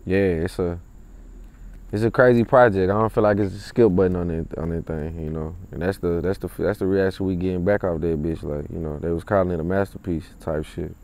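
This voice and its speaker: Young male rapper. Deep, relaxed tone, laid-back slangy delivery, casual interview outdoors. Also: fairly quick, creaky. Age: young adult. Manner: laid-back, slangy, with frequent tag phrases. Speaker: rapper and clothing brand owner. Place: outdoors in a driveway beside a parked car.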